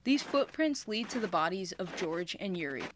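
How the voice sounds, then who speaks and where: A woman's voice: on the low side, lively intonation, moderate pace, slight background noise. A woman narrating a scripted mystery podcast as a storyteller. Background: background music.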